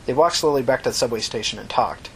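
A woman's voice: extremely low pitch, quick pace, creaky, a little hiss or hum. A woman reading scripted novel narration for a fiction podcast.

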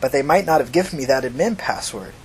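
A man's voice: mid-range voice, quick pace, slight background noise, close to an everyday voice, rough recording. A man voicing a cowed, evasive male hacker, hesitant and whiny, in an audiobook story. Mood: hesitant, explaining.

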